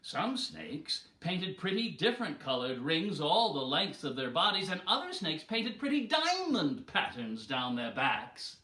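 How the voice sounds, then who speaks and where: A man telling an animal folktale in a theatrical, deliberate, dramatic way. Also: fairly high pitch, huge pitch swings, sharp tone, echoey room. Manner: dramatic, deliberate delivery with acted voices. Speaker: storyteller.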